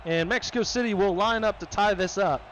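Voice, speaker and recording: Brief: high-pitched male play-by-play caller over music, brisk and excitable. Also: some chatter behind. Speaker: play-by-play commentator. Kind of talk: play-by-play sports commentary.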